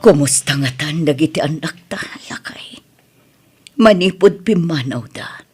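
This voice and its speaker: A woman's voice: deep voice, expressive, a little slow, music in the background. A woman playing an aging mother, strict, emotional and protective, in an Ilocano family drama. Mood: wistful. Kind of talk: Ilocano family radio-style drama acting.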